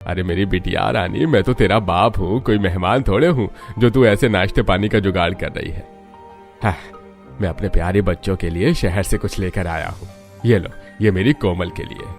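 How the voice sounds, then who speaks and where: A man's voice: low voice, moderate pace. A man playing an affectionate, hearty father in a cartoon family story. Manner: hearty, doting. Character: affectionate, jolly father returning home to his children. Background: music.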